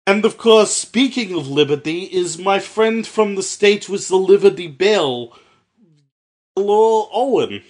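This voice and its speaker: Man putting on a high-pitched, rambling New Jersey podcast host. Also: animated, measured pace, a little mellow. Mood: rambling, earnest. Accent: exaggerated New Jersey sliding into Russian. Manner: mangled grammar, rambling. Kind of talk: comedic in-character podcast hosting.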